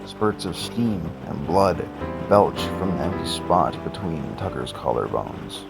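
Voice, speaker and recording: A man's voice: low voice, lively intonation, a little slow, gravelly, full character voice. A man acting as the grave, theatrical narrator of a comedy horror audio drama. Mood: grim, dramatic. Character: ominous storybook narrator of comedy horror tale. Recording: loud music under the voice.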